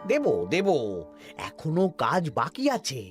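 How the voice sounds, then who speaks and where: Sly cartoon minister, wildly swooping. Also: male voice, fairly high pitch, big pitch swings, takes it slowly. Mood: gleeful. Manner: wheedling, conspiratorial, theatrical. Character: scheming greedy male royal minister, sly and oily. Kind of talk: comedy cartoon acting. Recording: music behind the voice.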